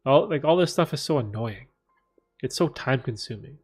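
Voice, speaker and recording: A man at a mid pitch talks loosely to his viewers, circling back on himself and padding his thoughts with fillers. He sits in a room with a bookcase behind him, and faint music hums under his voice. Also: expressive, normal speed, a little mellow, husky. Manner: rambling, many fillers and restarts. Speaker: livestream host answering viewer questions. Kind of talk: casual livestream Q&A.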